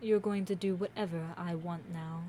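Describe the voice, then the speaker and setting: A woman voicing a commanding, scheming demon queen in a scripted audio roleplay, haughty and taunting, with deliberate, theatrical villain delivery. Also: lowish voice, steady tone, dark tone, a little hiss or hum, close to an everyday voice. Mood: possessive, mocking.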